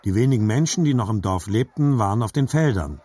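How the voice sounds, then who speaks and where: An actor narrating as a grown man remembering his childhood, measured and reflective, in scripted literary style. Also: fairly low pitch, lively intonation, dark tone, breathy edge, dry recording.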